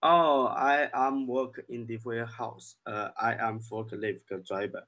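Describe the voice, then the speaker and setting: A man in his thirties from China speaks with a Chinese accent at a very slow pace. His voice sits at a middle pitch. He is an English learner practising conversation, hesitant and often repeating words in broken phrases. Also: steady tone, bright, forceful tone. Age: 30s. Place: online video lesson call.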